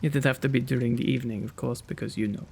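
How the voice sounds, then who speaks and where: A man voicing a kind, courteous gentleman vampire in a soothing ASMR roleplay, gentle and tender, often slipping into a whisper. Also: medium pitch, fairly even intonation, fast, gravelly, husky.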